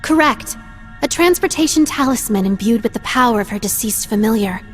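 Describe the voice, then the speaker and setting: A woman playing a poised, refined young lady in a fantasy video game, formal, composed and gently theatrical. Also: fairly high pitch, expressive, fairly quick, faint music behind, natural voice. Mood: composed, wistful. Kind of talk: dramatic video game acting.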